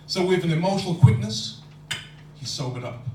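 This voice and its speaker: A man recites spoken word poetry in a steady, rhyming rhythm, his voice sitting a little high. He is recorded from far back in an echoey lecture hall. A faint hum runs underneath. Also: normal speed. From London.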